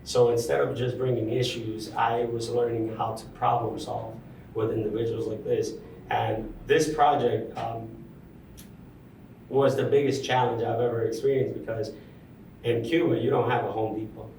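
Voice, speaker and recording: A young man, a construction superintendent, talking through his career in a relaxed interview with many pauses and fillers. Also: mid-range voice, gravelly, a little hiss or hum. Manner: thoughtful, frequent ums, restarts. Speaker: construction project superintendent, guest. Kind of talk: casual interview conversation. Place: large room at a university center. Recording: roomy, distant mic, faint ambience.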